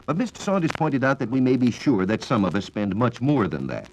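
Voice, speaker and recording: A man with an American accent giving a formal, measured, scripted 1940s radio science talk on a dull vintage recording. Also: medium pitch, very animated, darkish tone, slight background noise. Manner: formal, measured lecturing with rhetorical questions. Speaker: science reporter and radio speaker. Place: radio studio.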